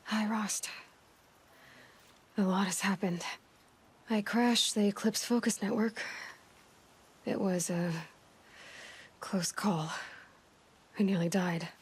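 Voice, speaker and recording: An actress voicing a young woman warrior in a video game, resolute and lonely, speaking in a soft, breathy, halting and reflective way. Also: mid-range voice, exaggerated voice. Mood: somber, reflective. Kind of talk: video game character monologue. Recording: studio game dialogue over background music.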